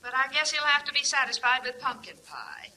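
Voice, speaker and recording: Shrill, high-voiced fretful mother. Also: sing-song, fairly quick, bright, forceful tone, exaggerated voice, low-quality recording. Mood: fretful, brisk. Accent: American. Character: fretful, firm middle-aged housewife mother. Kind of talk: old-time radio comedy acting. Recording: vintage radio recording with faint noise.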